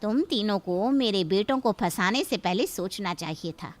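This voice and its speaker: A woman's voice: medium pitch, animated, normal speed, natural voice. A woman playing a domineering, greedy middle-aged mother-in-law in a cartoon story, haughty and threatening as she scolds. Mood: scolding, threatening. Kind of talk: Hindi cartoon story acting.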